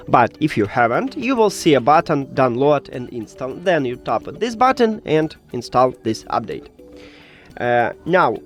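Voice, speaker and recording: A man who speaks English as a second language, presenting a step-by-step tech tutorial and sometimes searching for his words. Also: on the high side. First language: not English.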